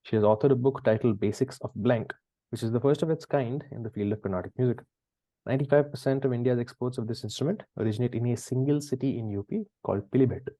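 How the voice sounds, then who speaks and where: A man's voice: medium pitch, fast talker, mellow, dry recording, low-quality recording. A man hosting a quiz, reading questions and chatting conversationally with the players. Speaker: quiz host.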